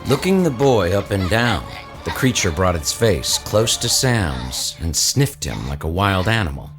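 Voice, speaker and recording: A man's voice: low-pitched, very animated, measured pace. A man reading dramatic scripted narration for an audio story, with music behind him.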